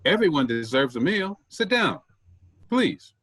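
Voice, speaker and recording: A man playing a calm, hospitable travelling actor in a staged play reading, with a reassuring, plain manner. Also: fairly high pitch, measured pace, dry recording, heavily acted. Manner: reassuring, friendly, plain-spoken.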